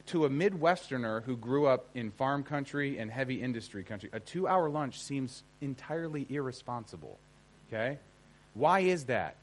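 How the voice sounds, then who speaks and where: A man's voice: medium pitch, rough recording. Conversational American man teaching a seminar. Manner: conversational, emphatic, often checks in with listeners. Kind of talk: informal seminar lecture to an audience.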